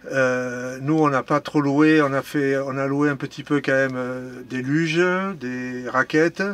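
A man's voice: mid-range voice, flat delivery, a little slow, fairly bright tone. A middle-aged man giving hesitant, casual interview answers in a small indoor storeroom. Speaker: ski rental shopkeeper. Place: narrow indoor storeroom of ski boots. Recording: faint room noise.

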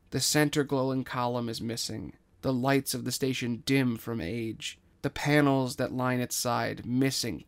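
Male narrator for scripted audio fiction: medium register, gravelly edge, reading stage directions. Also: mid-range voice.

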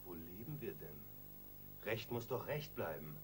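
An actor in a German radio crime drama playing a reluctant, conscience-stricken pharmacist husband who tends to yield. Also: male voice, low-pitched, expressive, a little slow, a little mellow, a little hiss or hum. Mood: protesting.

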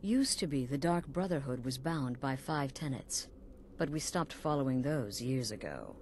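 A woman voicing a middle-aged assassin leader in a video game, coolly sinister and sly, measured and knowing with dramatic pauses. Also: very deep voice, sing-song, a little mellow, slight background noise, exaggerated voice. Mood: matter-of-fact, resolute.

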